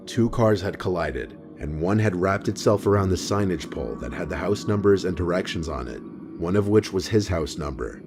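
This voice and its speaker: A man reading a scripted documentary narration in a steady, even storytelling manner. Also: low voice, normal speed. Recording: voice-over with clear music behind.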